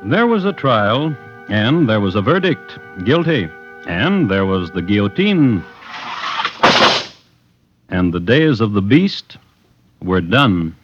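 An actor playing a measured, wry crime storyteller, narrating deliberately and dramatically as host of a 1950s radio drama. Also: male voice, lowish voice, big pitch swings. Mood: grave. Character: measured, wry male crime storyteller hosting from his files. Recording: dull vintage radio recording, music behind.

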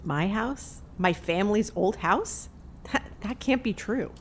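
A woman's voice: medium pitch, expressive, a little slow, slight background noise, natural voice. A woman playing a skeptical, sarcastic hometown returnee in an audio drama. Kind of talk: naturalistic audio drama acting.

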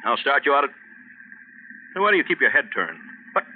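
A man's voice: medium pitch, big pitch swings, a little fast, close to an everyday voice. An actor playing a pompous, irritable rich man, a cowardly bully who blusters and sputters in old radio drama style. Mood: brusque, self-important. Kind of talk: 1940s radio horror acting. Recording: noisy, tinny old radio recording.

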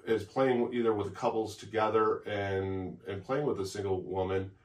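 Low-voiced man in an echoey room. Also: moderate pace. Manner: relaxed, repeats words when starting. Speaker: podcast co-host. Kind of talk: casual chat. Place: home office, on a couch. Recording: echoey room, distant mic.

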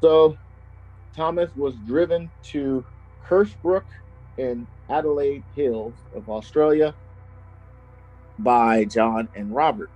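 A man's voice: mid-range voice, slow, long pauses, a little mellow, highs cut off, faint music behind. A man co-hosting a podcast, telling a true crime story in casual chat and laughing often. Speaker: podcast co-host.

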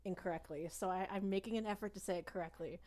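A woman's voice: mid-range voice, steady tone, fast talker, darkish tone. Hesitant woman in her thirties, rambling. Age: 30s. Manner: rambling, self-deprecating, hesitant with many ums. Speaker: podcast guest. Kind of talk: casual chat.

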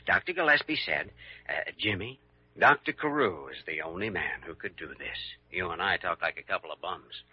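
An actor playing an earnest, persuasive young American hospital doctor with a wry, colloquial way of talking in a classic radio drama. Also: male voice, low voice, expressive, normal speed, husky, no echo. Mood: slyly coaxing. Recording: dull old radio recording.

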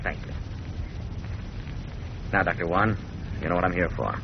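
An actor playing a ruthless fascist agent in a 1940s radio drama, mocking his victim with false courtesy and sharp threats. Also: sits low, moderate pace. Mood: smug menace. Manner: sardonic mock courtesy turning to barked threats. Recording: muffled, noisy 1940s radio recording.

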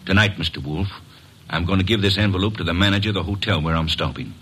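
A bitter revenge-driven drifter. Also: male voice, deep voice, fast talker, a bit bright, a little hiss or hum. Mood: grim. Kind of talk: 1940s radio acting.

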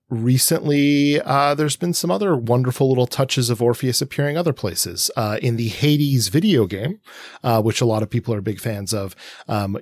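A man's voice: on the low side, dry recording. A man with an American accent in casual podcast discussion, enthusiastically sharing recommendations as a co-host.

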